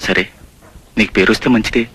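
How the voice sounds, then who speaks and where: A man's voice: on the low side, fairly even intonation, fast, fairly bright tone, slight background noise, close to an everyday voice. An actor playing a world-weary, disillusioned man philosophising to a friend in a film drama. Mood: weary, brooding.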